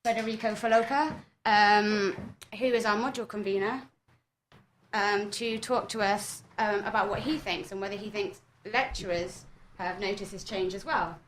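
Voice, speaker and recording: A woman's voice: medium pitch, steady tone, normal speed, a little hiss or hum, low-quality recording. Young woman, student presenter, scripted, earnest, studio mic. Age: young adult. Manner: earnest, reads from script, addresses listeners.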